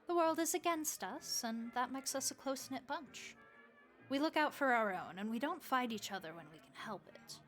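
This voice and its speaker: A woman voicing a friendly, motherly vampire innkeeper who speaks gently and reassuringly to the listener in an audio roleplay. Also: sits high, expressive, normal speed, soft background music. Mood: earnest, comforting.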